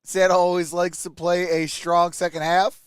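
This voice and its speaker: A man's voice: high voice, little pitch movement, unhurried, clear, resonant tone, no room sound, clean studio sound. A man hosting a live sports stream, giving informal, clipped play-by-play and chatting with viewers as a fan. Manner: informal, short clipped calls.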